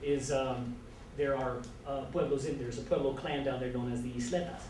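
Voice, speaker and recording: A man whose first language is Spanish, from El Paso, giving an earnest classroom lecture as a college writing teacher, pausing and restarting often. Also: mid-range voice, fairly even intonation, darkish tone. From El Paso, Texas. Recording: echoey classroom from far off, noisy.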